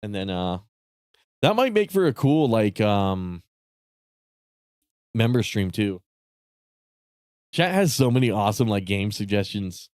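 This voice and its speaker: A man chatting casually with viewers on a live stream, rambling and swearing with lots of fillers, his voice starting to go raspy. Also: lowish voice, sing-song, a little slow, pauses often, darkish tone, dry recording, studio quality. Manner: rambling, profane, many fillers and restarts. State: voice getting raspy.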